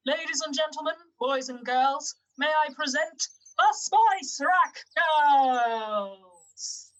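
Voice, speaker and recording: A high-voiced young woman security officer acted by a woman in a radio pantomime. She is self-important, bossy and easily flustered. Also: slow pace, a bit bright, poor audio. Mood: announcing, exasperated.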